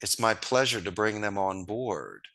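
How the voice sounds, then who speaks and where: Upbeat bright-voiced man. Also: on the low side, lively intonation, unhurried. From Northeast Philadelphia, USA. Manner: enthusiastic, storytelling, folksy sayings. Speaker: guest, call center founder. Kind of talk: casual interview talk.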